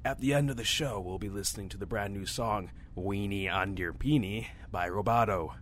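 A man playing a deadpan sci-fi radio co-host, announcing on a comedy show. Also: fairly low pitch, normal speed, slight background noise, natural voice.

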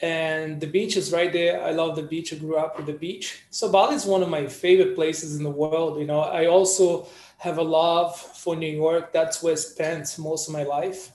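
Guest man from Brazil, fairly high voice, relaxed storyteller, echoey room. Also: normal speed, few pauses. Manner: relaxed storyteller, many "you know" fillers. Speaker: guest photographer. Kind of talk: casual interview chat.

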